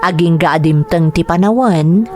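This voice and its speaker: A woman's voice: fairly low pitch, measured pace, background noise. A woman narrating a dramatic first-person story for an audio drama.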